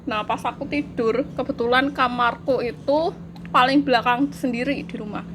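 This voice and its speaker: A woman's voice: fairly high pitch, fairly even intonation, normal speed, background noise. A woman, chatting casually and giggling as she tells a spooky story. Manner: giggly, laughs through her story. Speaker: co-host telling ghost stories. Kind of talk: casual chat.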